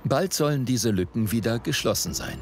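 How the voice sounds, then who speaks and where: A man's voice: medium pitch, animated, moderate pace. A man reading scripted narration for a documentary report. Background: faint background music.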